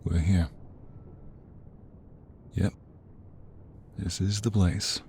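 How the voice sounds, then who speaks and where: Man acting a low, gravelly, brooding protective mobster. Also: steady tone, drawn-out words, a little mellow, a little hiss or hum, full character voice. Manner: brooding, earnest, tender toward his lover. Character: protective, troubled young mobster devoted to his lover. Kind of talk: ASMR roleplay acting.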